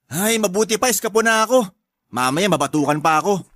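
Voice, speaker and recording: A man's voice: high-pitched, animated, brisk, a bit bright, no echo. A sly older brother's voice played by a man in a radio drama, close and dry. He sounds smug and cocky, a tattler who likes to tease. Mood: teasing. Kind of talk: Tagalog radio drama acting.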